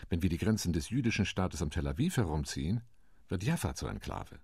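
An actor playing a calm, tactical delegate on a partition committee, speaking in a radio play monologue. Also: male voice, sits low, fairly quick, husky, no room sound, studio quality, full character voice. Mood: measured.

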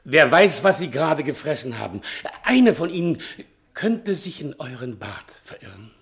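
A man's voice: on the high side, sing-song, normal speed, vocal fry. An actor playing a frightened, shifty manservant in a German radio play, pleading and hesitant, stumbling over his excuses. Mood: stammering, stalling. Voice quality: stammering, hesitant. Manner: pleading, servile, theatrical. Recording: vintage 1960 radio studio recording.

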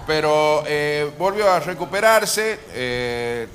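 A man's voice: fairly high pitch, animated, takes it slowly, fairly bright tone. A man co-hosting a comic live radio show, talking in a wry, mock-formal way. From Argentina. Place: bar, live radio broadcast before patrons.

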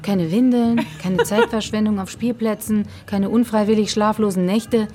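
An actress playing a haughty, jaded bohemian muse in a radio play, disdainful and sardonic, over music. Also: female voice, mid-range voice, steady tone, moderate pace, warm tone, natural voice. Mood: proud, scornful. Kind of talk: naturalistic radio play acting.

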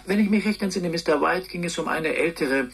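An actor playing a polite, unflappable robot secretary in a German radio drama, with a formal, courteous and precise manner. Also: male voice, a little high, a little fast, vocal fry, a bit bright, breathy edge, heavily acted. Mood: dutiful, formal.

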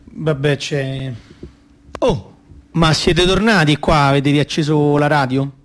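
A man's voice: a little high, slow pace, slight background noise. An actor playing a naive, stubborn, football-obsessed young boy in a radio play, speaking in childish, slangy, repetitive phrases. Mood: eager storytelling. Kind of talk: radio theatre monologue and dialogue.

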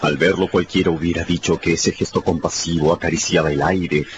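A man's voice: deep voice, steady tone, a little fast, background noise. A man giving theatrical, emphatic scripted narration for a radio drama. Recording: muffled, under prominent music. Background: dramatic music.

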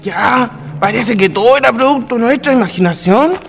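A man's voice: very high-pitched, normal speed, vocal fry. An actor playing a jumpy village man lost at night in a radio drama, with a Chilean accent and rustic, exclamatory speech. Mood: uneasy. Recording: music behind the voice.

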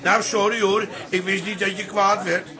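A man's voice: high-pitched, flat delivery, moderate pace, close to an everyday voice. An actor playing a grumbling, old-fashioned father in a radio comedy drama, indignant and gloomy about everything modern. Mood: sour. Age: older.

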